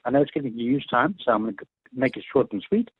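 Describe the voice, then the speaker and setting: A man's voice: mid-range voice, very animated, fast talker, breathy edge, no echo. A man calling in to a radio show, rambling and hesitant with chuckles. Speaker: radio caller. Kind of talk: phone-in chat. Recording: tinny phone line.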